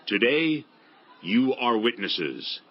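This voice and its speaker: A man's voice: medium pitch, big pitch swings, slow, dull audio. A man playing a kindly, honorable landowner of ancient Israel in a dramatized Bible reading, earnest and declamatory. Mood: proclaiming. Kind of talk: dramatized Bible audiobook acting.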